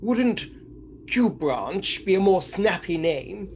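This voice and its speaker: A woman playing a mild, sceptical sidekick in a comedic radio play, droll, questioning and deadpan. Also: medium pitch, slow, a little hiss or hum.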